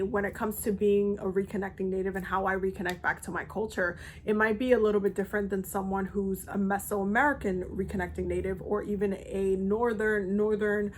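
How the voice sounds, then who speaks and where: A woman's voice: mid-range voice, a little hiss or hum. Chatty young woman, loose and rambling. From Dominican Republic. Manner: loose and chatty, many ums and restarts. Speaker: spiritual content creator. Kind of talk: casual vlog talk to viewers.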